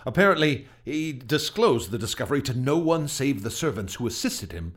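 An actor playing a troubled gentleman recounting frightening events in a radio drama, earnest and hesitant, stammering when unsettled. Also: mid-range voice, big pitch swings, normal speed, lightly acted. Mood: grave. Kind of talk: radio drama acting, halting testimony.

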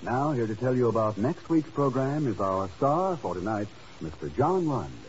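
A man's voice: mid-range voice, sing-song, normal speed, muffled recording, background noise. A man announcing from a script on 1950s network radio, heard on an old recording.

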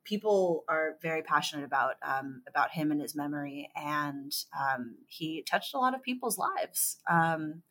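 A woman in her thirties from California, speaking as a guest on an interview podcast. Her voice sits very low but carries a bright, forceful edge. She talks in a halting, thoughtful way, full of fillers and restarts. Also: animated. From California, USA. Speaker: author guest.

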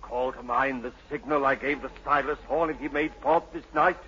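A man's voice: medium pitch, steady tone, moderate pace, bright, forceful tone, close to an everyday voice. An actor playing a mad, obsessed old sea captain and domineering father, in salty archaic seafarer's speech, in an old radio drama. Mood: fierce, insistent. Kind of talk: 1940s-50s radio drama acting. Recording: muffled old radio broadcast, faint noise.

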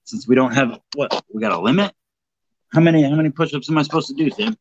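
A man's voice: on the high side, expressive, quick pace, dry recording, highs cut off. A man co-hosting a livestream, chatting casually, profane and self-mocking, rambling into long stories. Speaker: livestream co-host.

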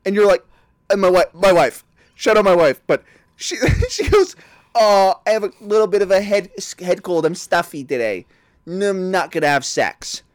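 A man's voice: sits high, very animated, moderate pace, no echo, clean studio sound. A man co-hosting a podcast, rambling and crude in casual comedic chat, laughing a lot. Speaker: podcast co-host.

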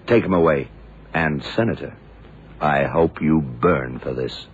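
An actor playing a shrewd, hard-boiled male detective in a 1970s radio drama, speaking deliberately in clipped sentences. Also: very deep voice. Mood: stern, accusing. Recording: muffled, noisy vintage radio recording.

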